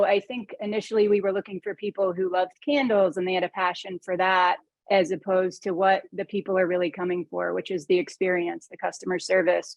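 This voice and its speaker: A woman's voice: mid-range voice, no echo, lo-fi sound. A woman who co-owns a candle business, speaking earnestly on a panel. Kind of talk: panel discussion.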